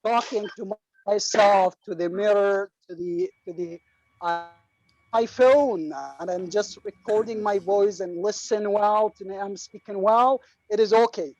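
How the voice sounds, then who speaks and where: Iraqi man, participant in an online class, halting and full of restarts, dry dull video call sound. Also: high-pitched, steady tone, darkish tone, no echo. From Iraq. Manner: halting, many fillers and restarts. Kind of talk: casual speech to a class.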